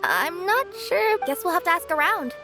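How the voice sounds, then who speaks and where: A woman's voice: very high-pitched, big pitch swings, normal speed, over music, exaggerated voice. A woman voicing a polite, earnest young cat-girl courier in a video game.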